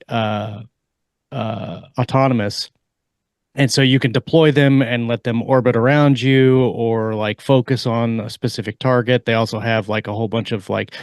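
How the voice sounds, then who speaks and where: A man's voice: on the low side, no echo. Rambling male podcast panelist, dry sound. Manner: explanatory, rambling, many ums and restarts. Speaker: podcast co-host and author. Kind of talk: casual panel discussion.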